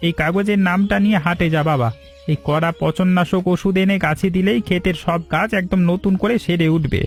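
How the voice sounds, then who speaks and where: A man voicing a high-pitched devoted old farmhand. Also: brisk. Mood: fatherly concern. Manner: fatherly, addresses younger men as sons. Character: devoted, stubborn older village farmhand recovering from fever. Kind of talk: cartoon dialogue acting. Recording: faint music under voice. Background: faint music.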